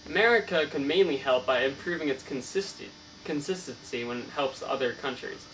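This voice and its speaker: An earnest, learned novelist, acted by a man, answers interview questions in formal, recited sentences. He trips over the odd word. The voice sits at a middling pitch, recorded away from the mic with mains hum underneath. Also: brisk, a bit bright, far from the mic, close to an everyday voice, rough recording. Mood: earnest, explanatory. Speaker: student playing an interviewed author. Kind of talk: scripted mock interview answers.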